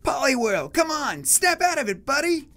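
A man's voice: very high voice, sing-song, moderate pace, sharp tone, faint music behind, pitched above the performer's own voice, heavily acted. A man voicing an eager, cocky young boy monster trainer in a comic manga reading. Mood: excited.

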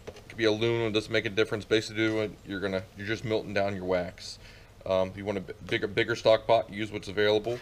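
A man's voice: lowish voice, slight background noise. A middle-aged man giving a casual outdoor how-to as a beekeeper, rambling with many fillers and restarts, recorded on a camera mic in a farmyard.

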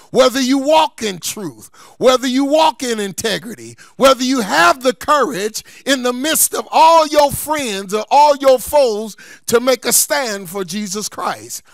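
A man's voice: very high voice, gravelly, fairly bright tone, no echo, studio quality. A man preaching a sermon as a pastor, emphatic and folksy, repeating key phrases for stress and slipping into colloquial asides. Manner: colloquial, folksy asides between scripture points.

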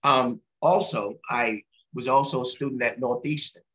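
Guy from barbados running for senate, bright punchy voice, video call. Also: mid-range voice, moderate pace, rough recording. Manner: plain, sincere. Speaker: political candidate. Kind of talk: campaign introduction on a video call.

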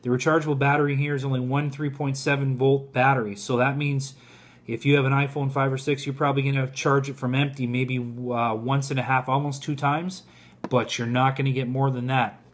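A man's voice: medium pitch, little pitch movement, fairly quick. A man in his forties talking informally to viewers in a casual product review, recorded in a home kitchen on a camera at arm's length. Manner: informal, addresses viewers as guys.

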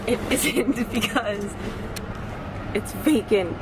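A woman's voice: mid-range voice, extremely slow, background noise. A young woman in her twenties chatting playfully in a travel vlog from inside a car, holding the camera at arm's length. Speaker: vlogger. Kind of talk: casual travel vlog chat. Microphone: camera held at arm's length. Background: loud background music.

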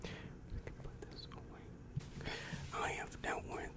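A young man playing a calm, reassuring demon guidance counselor in an ASMR roleplay, whispering breathily and patiently explaining, close to the microphone. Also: close to an everyday voice. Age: young adult. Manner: slow, soothing, patient explaining. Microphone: close-up microphone with foam windscreen.

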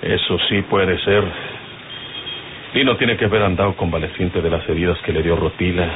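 An actor playing a cautious, commanding gunman with a Mexican accent, measured and firm in old radio drama style. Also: low voice, little pitch movement, close to an everyday voice. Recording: muffled, phone-like old radio with music.

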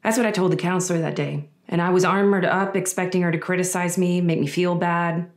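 A young woman reading a first-person testimonial monologue deliberately, with dramatic pauses. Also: on the low side, steady tone. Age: young adult. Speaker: reader of a survivor's story. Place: indoors against a dark backdrop.

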